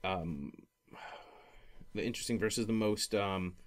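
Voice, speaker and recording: Male podcast speaker, lowish voice. Informal and unscripted, halting delivery, works out his thoughts as he goes. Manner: rambling, halting, thinking aloud; informal, self-interrupting, profanity-laced. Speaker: podcast speaker, comic creator. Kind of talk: casual podcast discussion of storytelling.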